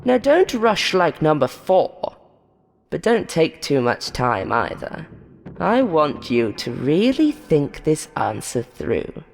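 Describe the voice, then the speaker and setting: A woman voicing a monstrous game show host in a horror story, falsely friendly and hateful, with a taunting, showy, drawn-out delivery. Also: low voice, sing-song, creaky, long reverb tail, full character voice. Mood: smug, commanding.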